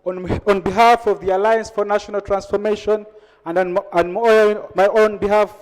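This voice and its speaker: A man's voice: high voice, fairly even intonation, moderate pace. A man with a Ugandan accent, a party whip, delivering a formal, oratorical tribute. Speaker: member of parliament, party whip. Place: large parliamentary chamber. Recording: echoey hall with long reverb.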